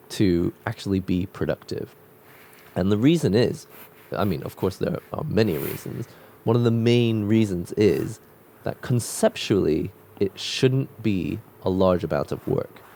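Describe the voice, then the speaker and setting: A man with a cold-croaked voice talking casually and reflectively while drawing, musing with loose restarts. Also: fairly low pitch, mellow, a little hiss or hum. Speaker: art vlogger drawing on camera.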